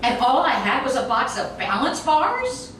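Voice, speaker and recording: A woman's voice: high-pitched, a little slow. Echoey, distant woman reading a comic story. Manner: animated, expressive storytelling. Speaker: reader. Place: echoey room, recorded from a distance. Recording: very echoey room, distant mic, noisy.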